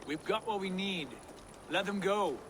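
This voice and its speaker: An actor playing a commanding, smooth-talking Viking warlord in a video game, speaking with theatrical, courtly menace. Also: male voice, sits high, a little slow. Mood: assured. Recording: clear background noise.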